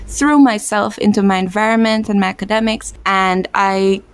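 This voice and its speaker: A woman's voice: mid-range voice, level tone, moderate pace, clear, resonant tone, dry recording. A woman in her twenties from Belize, a podcast guest telling her story in an earnest, reflective way. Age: 20s. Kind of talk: podcast interview.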